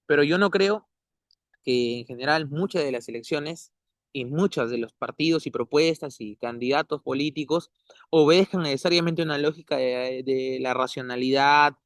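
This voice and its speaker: A man, a guest giving measured, academic analysis, often ending points with tag questions. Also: fairly high pitch, no echo. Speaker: interview guest. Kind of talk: analytical conversational commentary.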